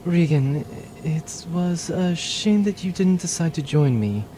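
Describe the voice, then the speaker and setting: A man's voice: a little high, expressive, measured pace, dark tone, slight background noise, pitched below the performer's own voice. A man voicing a cool, formal lady space-pirate captain, scheming and wistful, in a comic audio drama. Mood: resigned, wistful.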